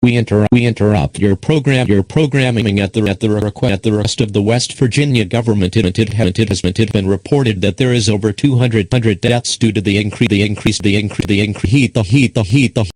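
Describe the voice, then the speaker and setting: A man with a fairly low voice delivers a mock emergency alert as the announcer. His delivery is formal and solemn, and it halts here and there as he works through the script. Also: fairly quick, few pauses, a little mellow. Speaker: announcer in an amateur emergency-broadcast scenario.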